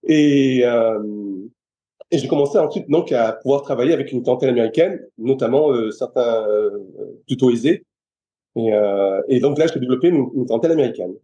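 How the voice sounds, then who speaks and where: A man's voice: medium pitch, normal speed, mellow. A middle-aged man from France, a personal trainer, chatting in an interview and stopping often to restart his sentences. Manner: hesitant, many restarts and fillers. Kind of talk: casual interview chat.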